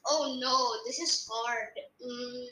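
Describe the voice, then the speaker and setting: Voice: mid-range voice, fairly even intonation, slow, pushed and bright. A young podcast co-host, sounding like a child or young teen, chatting casually and hesitantly in an echoey room. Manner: hesitant, unsure. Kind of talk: casual podcast quiz chat.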